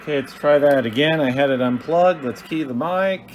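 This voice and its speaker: A man giving a casual hands-on demonstration as host of a hobby video, talking in a halting, plainspoken, methodical way. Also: fairly high pitch, a little hiss or hum.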